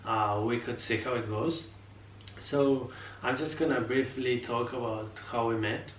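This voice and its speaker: A man's voice: mid-range voice, fairly even intonation, measured pace. A young man from Spain with a Spanish accent, chatting casually on a vlog and hesitating often as he hunts for words in his second language. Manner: hesitant, many filler sounds, apologetic about his English. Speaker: vlogger. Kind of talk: casual chat. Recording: echoey room, mic set far off, faint noise.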